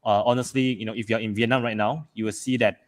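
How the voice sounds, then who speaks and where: A man's voice: on the low side, fairly even intonation, quick pace. A man from Malaysia telling his story casually on a video call as a panel speaker, with many fillers and restarts.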